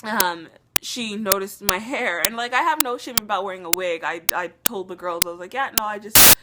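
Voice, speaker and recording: Young woman, casual vlogger, chatty and rambling, slightly sick, mid pitch, loud background noise. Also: normal speed. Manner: chatty, many fillers and restarts. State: slightly sick, losing her voice. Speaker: beauty vlogger. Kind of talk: casual rambling hair review.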